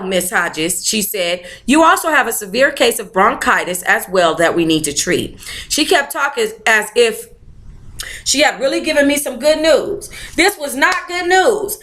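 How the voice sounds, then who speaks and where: A woman's voice: high-pitched, a bit bright. A woman hosting a book club, reading aloud expressively with sassy, informal interjections of her own. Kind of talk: expressive read-aloud with playful ad-lib commentary.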